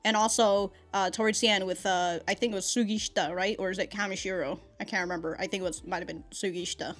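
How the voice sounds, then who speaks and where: A woman's voice: medium pitch, fairly even intonation, quick pace, faint music behind. A woman giving a chatty, rambling review as a reaction host, with casual slang and swearing. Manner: profane, slangy, laughs often while talking.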